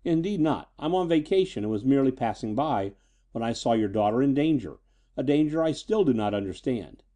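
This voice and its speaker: Man as earnest young gentleman, mellow tone. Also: mid-range voice, lively intonation, brisk, lightly acted. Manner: courteous, earnest. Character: polite, reassuring young man, eager to help.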